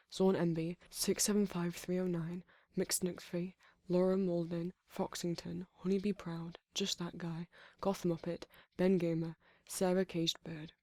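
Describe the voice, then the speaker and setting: An audio roleplay creator reading a scripted channel outro and credits in a steady, list-like delivery. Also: medium pitch, dry recording.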